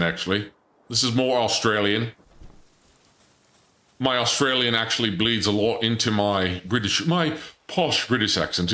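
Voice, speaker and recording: A man's voice: medium pitch, bright, forceful tone, echoey room. A man streaming a game, chatting casually and joking while he reads chat aloud. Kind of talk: casual gameplay commentary.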